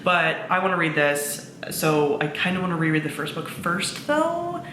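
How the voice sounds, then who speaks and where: A woman with a bright, forward voice chats informally in the manner of a vlogger. She sounds enthusiastic and conversational, with a mid-range pitch. The recording has a roomy echo and faint background noise. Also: big pitch swings, normal speed. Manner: enthusiastic, informal with swearing and frequent "like". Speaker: book vlogger, presenter of own channel. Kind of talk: casual chatty vlog talk to camera.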